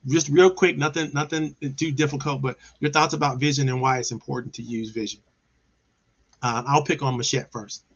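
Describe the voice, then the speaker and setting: A man's voice: mid-range voice, steady tone, normal speed, breathy edge, muffled recording. A man from Maryland hosting a casual online business show over a video call, talking in an upbeat, enthusiastic way with frequent restarts. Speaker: host.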